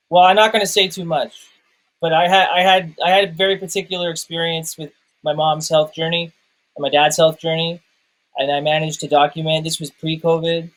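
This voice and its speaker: A fairly high-pitched male voice. He talks in a casual, personal way, circling back and repeating words while he finds his phrasing. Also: a bit bright, rough recording. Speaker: podcast guest reviewer, documentary maker.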